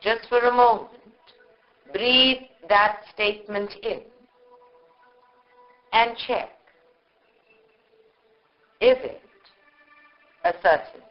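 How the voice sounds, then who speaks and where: A woman guides a meditation at a very slow pace. She speaks in short, measured phrases and leaves long pauses between them. The live recording sounds dull and lo-fi. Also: a little high, soft background music. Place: live group meditation session.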